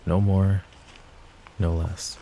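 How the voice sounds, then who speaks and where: A man playing a possessive young adult mafia boss in an ASMR roleplay, speaking low and measured to an unheard listener. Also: little pitch movement, gravelly, mellow, slight background noise, heavily acted, low-quality recording. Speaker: voice actor.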